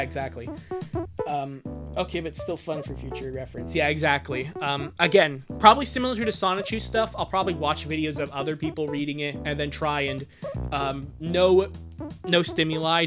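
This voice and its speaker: A livestream host chatting casually and reacting to viewers in an exasperated, rambling, sweary way. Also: mid-range voice. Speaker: livestream host reading fan fiction aloud.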